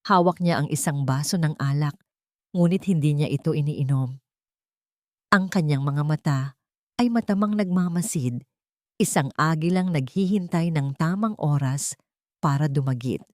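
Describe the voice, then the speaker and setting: Female story narrator, Filipino accent: low register, measured dramatic delivery, very dry recording. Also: a little mellow, no echo.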